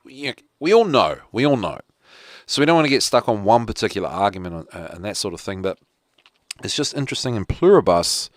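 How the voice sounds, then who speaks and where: A man's voice: sits low, fairly bright tone, dry recording, studio quality. A man with a New Zealand accent hosting a casual solo talk show, rambling with lots of fillers and restarts. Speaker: podcast host.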